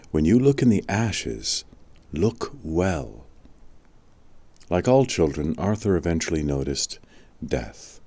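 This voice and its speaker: A man narrating a spiritual audiobook in a measured, deliberate scripted style. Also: rumbling low, darkish tone, no room sound.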